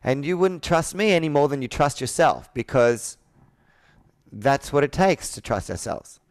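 A man's voice: a little high, animated, moderate pace, dry recording, studio quality. A man in his thirties working as a sales coach, talking persuasively and assertively, with probing rhetorical questions. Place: indoor office with a flip chart, on a video call.

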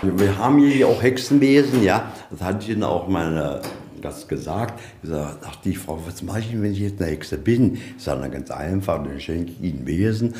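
Man, deep voice, soft dark tone, folksy tour-guide storytelling, rhetorical questions. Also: expressive, normal speed, few pauses, slight background noise. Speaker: museum guide. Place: inside a museum.

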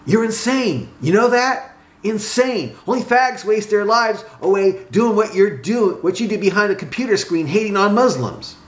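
A man voicing a furious brawler in his fifties in a story, shouting mocking taunts. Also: medium pitch, sing-song, measured pace. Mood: angry. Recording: roomy echo, some background noise.

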